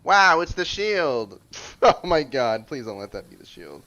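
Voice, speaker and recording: A man's voice: a little high, very animated, a little slow, sharp tone. A man hosting a gaming video with casual, reactive, exclamatory commentary. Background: game music.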